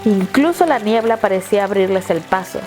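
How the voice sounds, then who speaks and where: Woman narrating a story over soft music. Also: medium pitch, animated, brisk. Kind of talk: scripted dramatic story narration.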